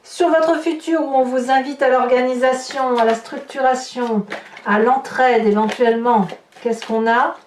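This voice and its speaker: A woman with a fairly high voice speaks as a tarot reader to her viewers. She thinks aloud informally, often checking in with small questions. The sound is very roomy and a little far from the microphone. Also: fairly even intonation, a little slow, a little hiss or hum. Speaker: tarot reader hosting a horoscope channel. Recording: roomy echo, distant microphone.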